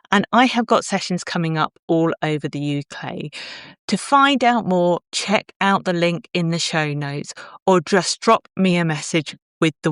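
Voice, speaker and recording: A woman's voice: fairly low pitch, clean tone, no echo. British woman podcast host, close dry sound. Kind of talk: conversational interviewing and promotional talk.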